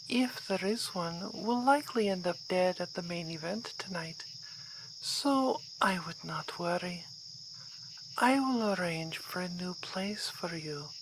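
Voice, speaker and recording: A woman's voice: lowish voice, takes it slowly, noisy background. A woman playing a poised, gracious vampire baroness in an ASMR roleplay, speaking in formal, courteous phrases. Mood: calm, reassuring.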